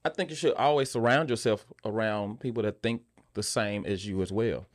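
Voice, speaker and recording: A man answering casually in a podcast interview, often halting and repeating words as he thinks aloud. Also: lowish voice. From Memphis, southern United States. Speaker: guest, actor and filmmaker.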